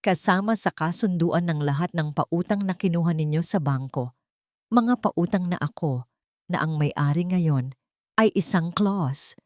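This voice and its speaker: A woman's voice: lowish voice, no room sound, clean studio sound, natural voice. Woman voicing a coldly menacing, vengeful rich father. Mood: grave, accusing. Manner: measured, grave, accusing. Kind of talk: Tagalog melodrama story dialogue.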